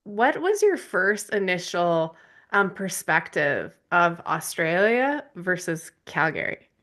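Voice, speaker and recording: Woman host, bright clear tone but lowish pitch, warm and encouraging. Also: sing-song, slow. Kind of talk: casual interview.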